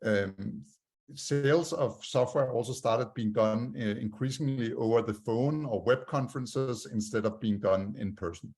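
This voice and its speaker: A man with a Danish accent presents a talk over a video call and fills it with many "uh" pauses. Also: mid-range voice, darkish tone, breathy edge. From Denmark. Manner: many "uh" fillers, measured delivery. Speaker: guest speaker.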